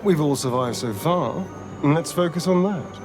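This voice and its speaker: A man's voice: on the high side, expressive, normal speed, music in the background. A man playing an aristocratic, sardonic vampire rogue in a video game, mocking and arch. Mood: sly. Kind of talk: video game character acting.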